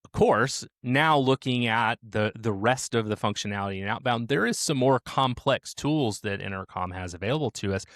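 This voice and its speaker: A man, a support team leader, answering interview questions in a measured, explanatory way on a remote call. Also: medium pitch, a bit bright, no room sound, studio quality. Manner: measured, explanatory, slows down on key points.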